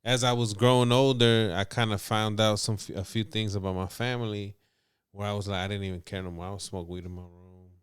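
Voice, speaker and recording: A young man with a very deep, smooth voice recorded close and dry. He recounts his past candidly and casually, full of street slang. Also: flat delivery, fairly quick, no echo. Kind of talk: casual storytelling.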